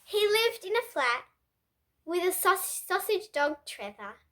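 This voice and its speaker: A child reading a picture book aloud in an expressive, halting way, with asides to young listeners. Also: extremely high pitch. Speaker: child reader, host of a kids' book channel.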